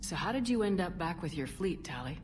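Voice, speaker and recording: A woman's voice: fairly low pitch, lively intonation, brisk, music in the background, lightly acted. An actress playing a resolute, direct female military commander in a video game. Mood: calm.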